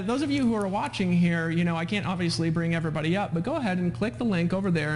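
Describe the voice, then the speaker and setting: A male sales coach running a live webinar in a high, fast voice with noticeable fry. He pushes persuasively, repeats his phrases and keeps checking in with questions. There is faint noise behind him. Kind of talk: live webinar sales pitch, talking with callers.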